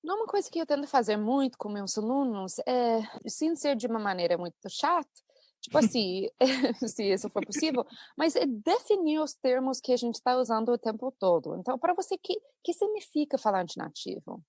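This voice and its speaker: An American woman, a native English speaker and English teacher, chatting as a podcast guest in a lively storytelling manner. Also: fairly high pitch, brisk, dry recording. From United States. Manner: chatty, animated storytelling with laughs. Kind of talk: casual podcast conversation.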